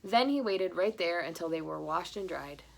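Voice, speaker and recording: A woman narrating a children's picture book aloud in an animated, expressive read-aloud style. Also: on the low side, normal speed, gravelly, rough recording. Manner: animated, with interjected asides to listeners. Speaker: storybook reader.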